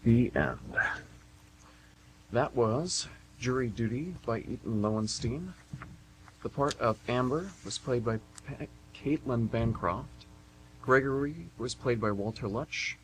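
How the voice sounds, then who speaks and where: A man's voice: mid-range voice, slow, low-quality recording. A man reading scripted narration and credits as announcer for an amateur radio theatre. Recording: hum and faint background noise.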